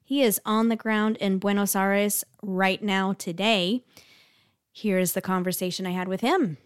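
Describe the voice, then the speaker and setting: A woman's voice: mid-range voice, steady tone, measured pace, smooth. Friendly woman hosting a podcast. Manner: friendly, curious questioning. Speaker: podcast host. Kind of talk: podcast interview.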